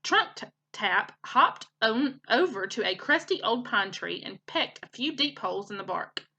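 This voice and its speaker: Animated, bright-voiced woman reading a storybook. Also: fairly high pitch, unhurried, a little hiss or hum. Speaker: teacher reading aloud. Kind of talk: animated children's storybook narration.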